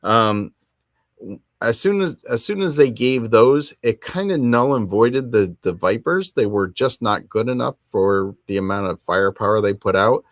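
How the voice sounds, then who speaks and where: A man's voice: mid-range voice, fairly bright tone, dry recording, clean studio sound. A man hosting a hobby show solo, talking in a casual, conversational way and rambling a little as he thinks aloud. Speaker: host of a tabletop wargaming hobby show.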